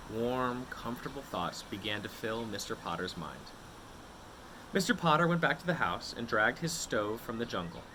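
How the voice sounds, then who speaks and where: A man reading a children's picture book aloud expressively, with dramatic drawn-out words and suspenseful pauses. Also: mid-range voice, noisy background.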